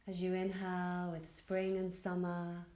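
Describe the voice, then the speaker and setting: Slow, hushed-toned woman guiding meditation. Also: lowish voice, completely flat delivery, reverberant. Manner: soothing, gentle, unhurried with long pauses. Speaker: yoga nidra teacher guiding a meditation. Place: indoor room at a retreat.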